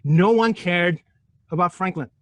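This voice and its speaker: A man's voice: high-pitched, a little slow, a bit bright, no echo. A middle-aged man from Turkey lecturing a physics class, joking and throwing rhetorical questions at his students.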